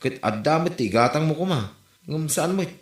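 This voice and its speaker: A man's voice: on the high side, animated, fairly quick, natural voice. An actor with an Ilocano accent playing a plain-spoken village man in a comedy drama. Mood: scolding. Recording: roomy echo, faint music behind.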